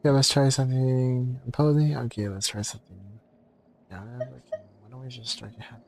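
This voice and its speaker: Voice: medium pitch, fairly even intonation, moderate pace, gravelly, darkish tone, a little hiss or hum. Chatty streamer greeting viewers. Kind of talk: casual live-stream chat.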